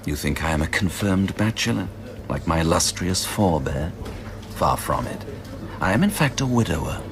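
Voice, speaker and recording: A middle-aged male bookseller in a radio drama. His low voice has a creaky grain and moves widely in pitch. He is dry, formal and literary in his phrasing. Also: animated, normal speed. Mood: dry, wistful.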